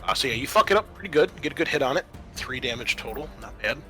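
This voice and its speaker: A man's voice: mid-range voice, sing-song, fast talker, pushed and bright, soft background music. A man acting as game master, narrating a tabletop roleplaying game in a conversational way.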